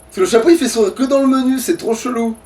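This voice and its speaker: A man's voice: extremely high pitch, fast talker, a little mellow. A man chatting casually and swearing in slang as he hosts a video game playthrough. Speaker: let's play host. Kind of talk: casual gaming commentary with a co-player.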